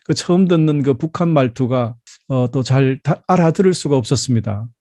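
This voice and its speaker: Dry-recorded, mellow middle-aged Korean male preacher. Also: medium pitch, normal speed, dry recording. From South Korea. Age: 50s. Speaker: pastor. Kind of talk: Sunday sermon with personal storytelling.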